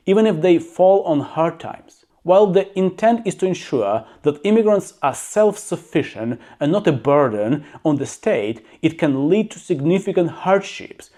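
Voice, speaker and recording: A man's voice: fairly high pitch, darkish tone. A man who learned English as a second language reads out facts and adds his own plain-spoken opinions, as a YouTuber. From abroad, living in the UK. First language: not English. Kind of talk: reading facts with personal commentary.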